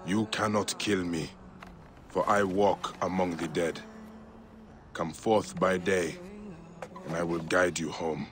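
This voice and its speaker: An actor playing a solemn, determined Egyptian warrior with a grave, deliberate delivery in a game cutscene. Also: male voice, sits low, fairly even intonation, noisy background. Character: solemn, determined Egyptian warrior protector, grown man, a father. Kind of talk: dramatic game cutscene acting.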